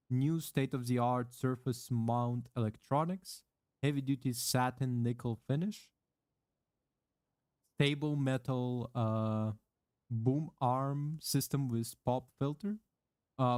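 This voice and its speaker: Male streamer, mid-range voice, close dry mic; halting read-aloud with fillers and casual cursing. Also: medium pitch, a little mellow, smooth, no echo. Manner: halting reading full of "uh" fillers and restarts, offhand swearing. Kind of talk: reading a product manual aloud, casual chat. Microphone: condenser microphone with pop filter, close.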